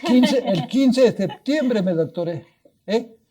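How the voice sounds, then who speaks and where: Older Mexican man with a dark voice. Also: sits high, lively intonation, takes it slowly. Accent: Mexican Spanish. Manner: rambling, restarts words, adds tag questions. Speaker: guest scientist. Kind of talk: anecdotal storytelling in a podcast interview.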